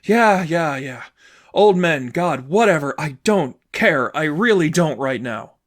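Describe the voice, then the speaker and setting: A man's voice: fairly high pitch, lively intonation, unhurried, fairly bright tone, voice pushed up. A man voicing an upset teenage girlfriend, wounded and bitter, with emphatic, sarcastic delivery in a fan fiction audiobook. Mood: angry.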